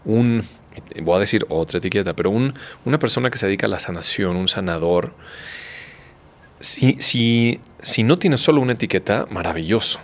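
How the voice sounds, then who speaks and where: A man in his forties with a Mexican accent, a doctor guest on a podcast, speaking in a calm, reflective way in a studio. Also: fairly low pitch, normal speed. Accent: Mexican Spanish. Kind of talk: casual interview. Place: dark studio set, indoors. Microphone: studio mic on boom arm.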